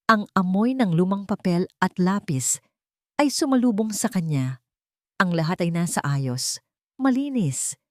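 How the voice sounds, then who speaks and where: A woman narrating a scripted romance drama story in a steady, measured reading. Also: lowish voice, dry recording, studio quality.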